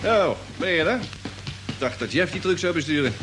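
An actor playing an informal, brisk expedition crewman in a radio drama. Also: a little high, lively intonation, music in the background, natural voice. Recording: old radio play, noisy ambience.

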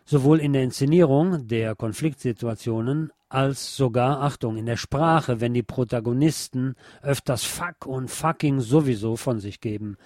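Measured male radio reviewer over music. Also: mid-range voice, a little mellow, no room sound, studio quality. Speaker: radio host and series reviewer. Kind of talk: spoken review on a radio show.

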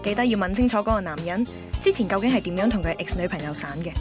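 A woman's voice: mid-range voice, quick pace, over music. A woman with a Hong Kong Cantonese accent giving scripted radio narration in a confiding, advisory manner. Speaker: narrator-host.

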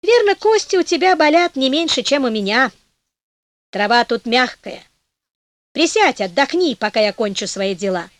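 A calm, kind old man of the roads who speaks with gentle wisdom. A woman narrator voices him in a fairly high register, recorded close and dry. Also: moderate pace, no echo.

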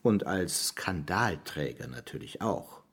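A man playing a sardonic, evasive presidential candidate in his fifties in a radio drama, condescending and husky, fond of rhetorical questions. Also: very deep voice, very animated, slow, a bit bright, full character voice. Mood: defensive. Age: 50s.

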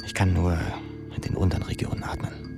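A man playing a brooding, ironic young pupil in an introspective radio drama monologue, musing and halting. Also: whispered, loud music behind the voice, lightly acted. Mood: intense, defiant. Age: young adult.

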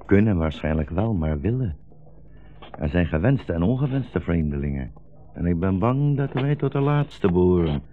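An actor playing a weary, gentle old blind rabbi in a 1960s radio play, speaking in a solemn, wry, rhetorical way. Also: male voice, low-pitched, big pitch swings, normal speed. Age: older. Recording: dull 1960s radio recording, music behind.

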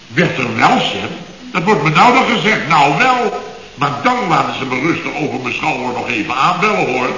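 Radio comedy boss: excitable contractor, bright forceful voice, blustering and indignant, on a hissy echoey old recording. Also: male voice, a little high, moderate pace, highs cut off. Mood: exasperated. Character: flustered, excitable male building contractor boss recounting his mishaps. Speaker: construction firm boss. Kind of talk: radio comedy serial acting.

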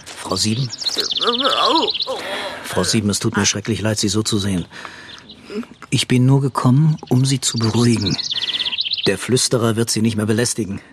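An actor playing a remorseful, awkward police inspector, hesitant and apologetic, in a radio crime play. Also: male voice, fairly low pitch, normal speed, long pauses, very noisy, lightly acted. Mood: awkward, sympathetic. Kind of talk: German radio play acting. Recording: loud outdoor birdsong behind.

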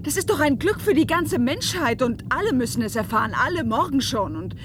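A woman's voice: high voice, very animated, brisk. Headstrong woman over vehicle noise. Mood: earnest. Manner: earnest, defiant, emphatic. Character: headstrong, questioning woman defying a secretive leader. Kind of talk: German science-fiction radio play acting. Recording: radio play with clear vehicle noise.

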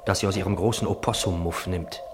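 An actor as the narrator of a radio play, reading stage directions in a detached, measured, enumerating way. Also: male voice, low voice, steady tone. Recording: clearly noisy ambience behind.

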